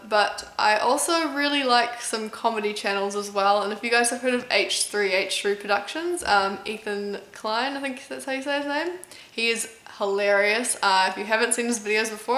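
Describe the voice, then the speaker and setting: Brief: female vlogger with a blend of New Zealand and American accent. Mid-pitched, chatty, lots of "um"s. Recorded in a room with clear echo. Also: normal speed, a bit bright. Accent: mix of New Zealand and American. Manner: chatty, stops often with "um" and stumbles over names. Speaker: competitive eater and YouTuber. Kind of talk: casual Q&A vlog.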